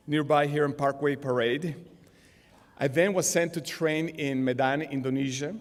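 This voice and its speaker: Venezuelan man speaking in an echoing hall. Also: mid-range voice. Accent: Venezuelan Spanish. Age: middle-aged. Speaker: graduation speaker, business executive. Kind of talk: formal commencement speech. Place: large indoor auditorium stage. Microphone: podium gooseneck microphones. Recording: long hall reverb from auditorium PA.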